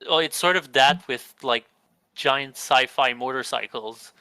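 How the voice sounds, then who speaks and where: A man's voice: mid-range voice, measured pace, pushed and bright, clear, resonant tone, no echo. A young man hosting a gaming podcast, describing games in a rambling, casual way with many restarts. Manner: rambling, restarts mid-sentence. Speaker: podcast host. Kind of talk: casual chat.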